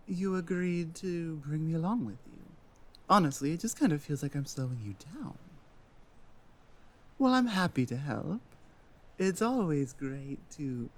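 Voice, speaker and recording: Man acting a slow-talking, earnest fantasy companion. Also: fairly high pitch, heavily acted. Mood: wondering, awed. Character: earnest, self-doubting Nordic guide and adventuring companion. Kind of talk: roleplay audio drama monologue.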